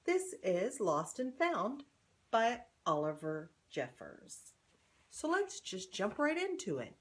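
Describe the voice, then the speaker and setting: A woman's voice: medium pitch, slow. An older woman, a grandmother, reading a children's storybook aloud expressively and speaking directly to young children. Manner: addresses young children directly, simple animated delivery.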